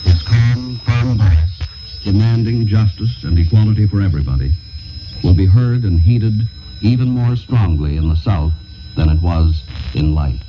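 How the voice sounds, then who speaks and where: Man, lowish voice, formal 1960s radio editorial, solemn oratory, dull shortwave sound, loud noise. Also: lively intonation, unhurried. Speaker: radio commentator and announcer. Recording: noisy, band-limited 1960s shortwave radio recording. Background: loud shortwave static and noise.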